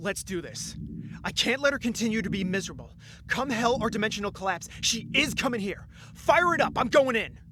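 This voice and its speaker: A man's voice: high-pitched, sing-song, a little fast. A man playing an earnest, lovestruck and stubbornly determined ordinary guy in an amateur audio drama, emotional, hesitant and then insistent.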